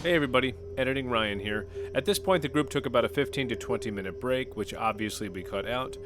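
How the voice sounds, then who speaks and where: A man who edits the show, playing a captain in a tabletop game with firm, deliberate speech. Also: medium pitch, noisy background. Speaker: player and editor.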